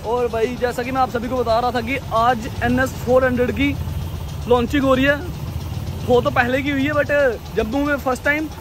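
A young man chats excitedly to his viewers while filming himself with a handheld action camera. His voice sits very high and light. Clear street and traffic noise run behind him the whole time. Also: normal speed. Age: young adult. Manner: excited, addresses viewers as guys and brother. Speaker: motovlogger. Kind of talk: casual vlog chat. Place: outdoors by a roadside and a showroom lot. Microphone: action camera held at arm's length.

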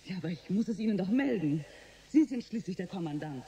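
An actress playing an earnest ship's medical officer in a German science-fiction radio drama, measured and matter-of-fact. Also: female voice, fairly low pitch, lively intonation, warm tone, husky, exaggerated voice. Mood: insistent. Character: earnest female ship's medical officer reporting to her captain.

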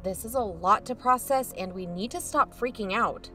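A woman's voice: mid-range voice, moderate pace, sharp tone, faint music behind, natural voice. A woman playing a bewildered but pragmatic investigative podcaster in a naturalistic audio drama. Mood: tense, reasoning.

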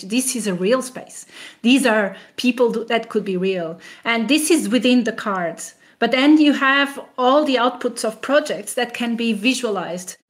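A woman academic being interviewed, explaining in a conversational way with rhetorical questions and frequent "you know" asides. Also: a little high.

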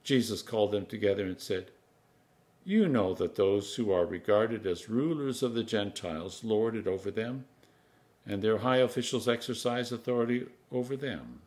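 A man in his sixties, an Anglican priest, reading scripture aloud in a measured, solemn, emphatic manner. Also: lowish voice, darkish tone. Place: home kitchen, indoors.

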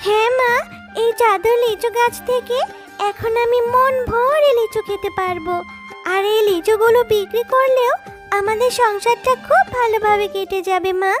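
Tiny super high little girl cub voice, sweet n coaxing, kids cartoon, music behind. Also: normal speed. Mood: delighted. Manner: childlike, coaxing. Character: sweet pleading little girl animal cub, poor and eager. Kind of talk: children's cartoon story dialogue.

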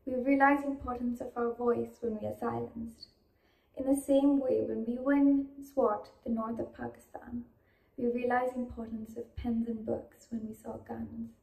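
A young woman with a fairly high voice and a creaky edge recites a speech. Her delivery is deliberate and declamatory, and the room adds clear echo. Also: level tone, a little mellow. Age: teenage to young adult. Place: indoor room. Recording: roomy echo.

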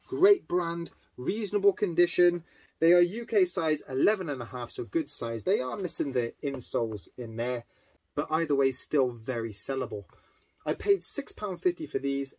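A man's voice: fairly high pitch, a little mellow. A man in his thirties talking casually to camera about items he has resold, upbeat and chatty, in a room lined with shelves. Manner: chatty and upbeat, walking through sales. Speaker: eBay reseller vlogger. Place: indoor storage room lined with toy shelves.

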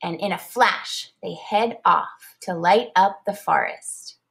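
A woman reading a children's storybook aloud like a teacher, expressive and deliberate. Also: mid-range voice, creaky.

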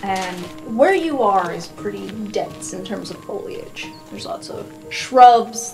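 A woman running an improvised tabletop game, narrating scenes as game master. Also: medium pitch. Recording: music playing behind the voice. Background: music.

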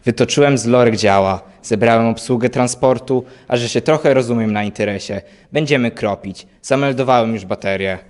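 A man plays a brave young army lieutenant in a war radio drama. He speaks in clipped military phrases at a middle pitch, dutiful and resolute. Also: a little fast, few pauses, darkish tone, natural voice. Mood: matter-of-fact. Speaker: amateur actor in a school audio drama.

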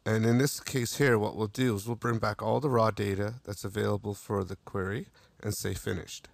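Male tutorial narrator, low and gravelly, plain step-by-step delivery, dry booth sound. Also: normal speed, no echo. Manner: steady step-by-step instruction with small restarts. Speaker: software demo narrator.

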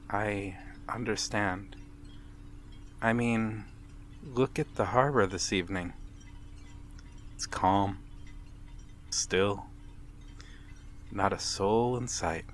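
A man playing a wistful, courteous vampire in a gentle, formal ASMR roleplay. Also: fairly low pitch, slight background noise. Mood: courteous, inviting. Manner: gentle, formal, halting with soft chuckles and sighs. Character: wistful, courteous old-world male vampire stranger by a harbour. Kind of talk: ASMR audio roleplay, slow intimate monologue.

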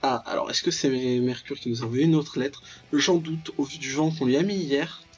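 Raspy guy doing jokey ironic let's play commentary, bit dull lo-fi mic, soft music behind. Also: on the high side, normal speed. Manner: ironic, reacts aloud and paraphrases on-screen text. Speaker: let's player, channel host. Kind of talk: casual joking gameplay commentary of a visual novel.

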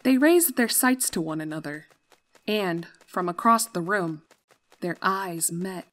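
A woman's voice: on the low side, lively intonation, measured pace, fairly bright tone. A woman reading scripted fan-fiction story narration as the narrator. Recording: music under the voice.

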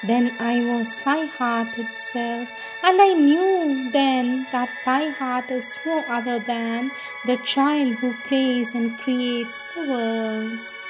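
Music plays clearly behind a woman reading devotional prayers. Her voice sits a little high, and she goes slowly, with a solemn and reverent delivery that gives each phrase a measured shape. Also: poor audio. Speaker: reader.

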